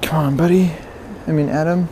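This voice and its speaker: A man giving excited, casual fishing commentary, repeating words in bursts, speaking outdoors into a body-worn action camera. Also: fairly high pitch, moderate pace, poor audio. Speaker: fishing vlogger. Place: outdoors beside a stream. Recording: outdoor water noise behind voice.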